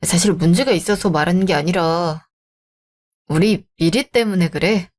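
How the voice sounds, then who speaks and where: Brief: a woman playing a soft-spoken young boyfriend in a radio drama, lower than her own voice, reassuring. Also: normal speed, close to an everyday voice. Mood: earnest. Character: caring young adult man, earnest boyfriend.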